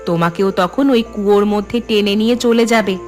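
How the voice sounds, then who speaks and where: Woman doing cartoon mom, admonishing her kid, medium pitch, music behind. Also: brisk, lightly acted. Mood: cautioning. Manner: admonishing, explanatory. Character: cautioning mother telling her little son a tale. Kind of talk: cartoon dialogue.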